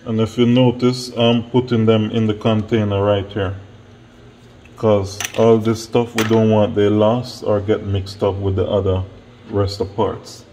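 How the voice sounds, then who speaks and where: Male workshop instructor, middle-aged, low mellow voice, explanatory, recorded on a camera mic in a bare room. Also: flat delivery, a little slow. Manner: plain-spoken, explanatory, step by step. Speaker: mechanic giving instruction. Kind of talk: hands-on tutorial narration. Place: small bare-walled indoor auto workshop. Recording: echoey hard-walled room, noticeable background noise.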